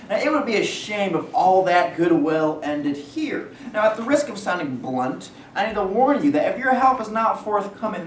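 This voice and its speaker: Guy reading a play as smooth pushy business exec, kinda high voice, distant mic. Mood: veiled threat. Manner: measured persuasion with veiled threats. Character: smooth, pressuring steel company businessman, wealthy family heir. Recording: echoey room, distant mic, faint noise.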